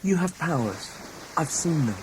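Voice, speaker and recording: A woman as an impatient, low-voiced Earth traveller. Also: big pitch swings, measured pace, rough recording. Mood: frustrated, impatient. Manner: argumentative, informal. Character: frustrated, impatient person from Earth with dormant powers. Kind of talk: sci-fi audio drama acting. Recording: clear background noise.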